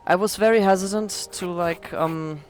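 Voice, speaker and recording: A hoarse woman casually rambling through gameplay commentary as a let's play creator, self-deprecating with many fillers. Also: lowish voice, moderate pace, a little mellow, faint music behind.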